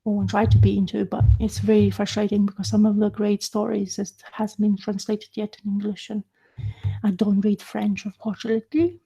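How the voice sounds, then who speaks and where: A young adult woman whose first language is Lithuanian, chatting casually on a livestream, halting and full of fillers and restarts. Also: medium pitch, completely flat delivery, creaky, mellow, slightly rough, low-quality recording. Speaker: livestream host. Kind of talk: casual live chat about comics.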